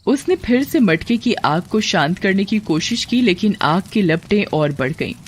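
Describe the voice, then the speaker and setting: A storyteller narrating a scripted moral horror tale for an animated story. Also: medium pitch, moderate pace, breathy edge.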